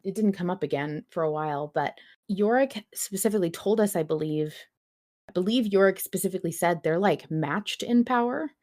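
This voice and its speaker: A woman with a slightly low voice talks as a podcast host. She speaks in a casual, informal way, as in a fan discussion, and swears lightly as she goes. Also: brisk, darkish tone.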